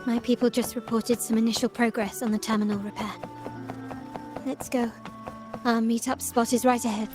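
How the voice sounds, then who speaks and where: A woman playing a composed, analytical young heiress in a video game, speaking in a measured, formal way. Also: a little high, little pitch movement, in a large hall, over music, lightly acted. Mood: commanding. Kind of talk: scripted game dialogue.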